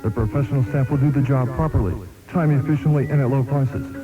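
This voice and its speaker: A man's voice: mid-range voice, fairly even intonation, quick pace. A man reading a scripted radio commercial. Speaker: commercial announcer. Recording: dull radio aircheck with music.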